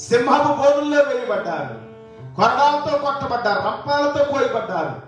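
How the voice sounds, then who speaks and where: A man with a high voice prays fervently as a pastor, stretching his words slowly. He sounds far from the mic in a very echoey space, with guitar music playing clearly behind him. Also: very animated, muffled recording. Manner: impassioned, with slow drawn-out English phrases. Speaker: pastor leading prayer. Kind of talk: fervent spoken prayer. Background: soft guitar playing.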